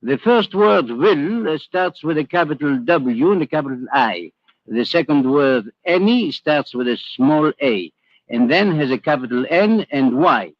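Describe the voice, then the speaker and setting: An actor playing a genial, sceptical French gentleman in a 1940s radio drama, speaking formally and theatrically. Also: on the high side, expressive, unhurried. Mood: amused, sceptical. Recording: dull, echoey old radio broadcast.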